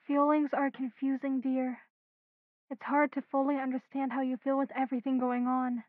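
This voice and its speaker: Actress as a sweet queen mom, really high voice, doting, close dry sound, audio drama. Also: flat delivery, normal speed, no echo, narrow, tinny audio, natural voice. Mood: gentle, kindly. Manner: affectionate, courteous. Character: gentle, doting queen and mother.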